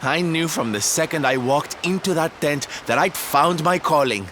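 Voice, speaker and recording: A man's voice: on the high side, moderate pace, a bit bright, slight background noise, lightly acted. An actor playing a flamboyant, sensitive male circus performer in a video game, camp and dramatic in his delivery. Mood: wistful, passionate. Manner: camp, dramatic, sighing, coy. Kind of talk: video game character acting.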